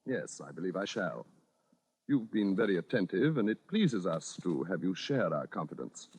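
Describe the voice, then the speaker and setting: A low-voiced, meekly grandiose radio character. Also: male voice, big pitch swings, normal speed, darkish tone, breathy edge, heavily acted. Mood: smugly confiding. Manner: formal, polite, stiffly precise. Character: deluded, grandiose, meek man with brittle nerves. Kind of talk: old-time radio acting.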